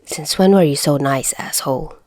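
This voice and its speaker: Woman voicing a grumpy, low-voiced teenage boy. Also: normal speed, darkish tone, close to an everyday voice. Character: anxious, grumpy, foul-mouthed fifteen-year-old boy.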